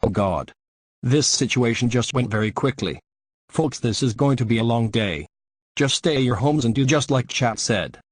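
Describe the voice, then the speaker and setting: A man playing a TV news anchor in a scripted crisis newscast, speaking plainly and earnestly in measured sentences. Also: mid-range voice, animated, no room sound, clean studio sound, close to an everyday voice. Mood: concerned. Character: male TV news anchor addressing a city in crisis.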